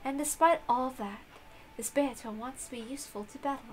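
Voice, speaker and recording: A woman reading a visual novel aloud, with expressive narration and casual asides. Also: high-pitched, fairly quick. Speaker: reader of a visual novel playthrough.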